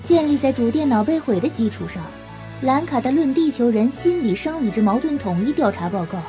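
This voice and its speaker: High, soft-toned woman narrating. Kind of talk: scripted audio drama narration.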